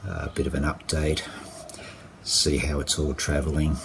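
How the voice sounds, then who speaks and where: A man talking through his injury recovery in a casual video diary, halting and full of fillers and restarts. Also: a bass voice, monotone, creaky, background noise. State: croaky with a cold. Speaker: vlogger.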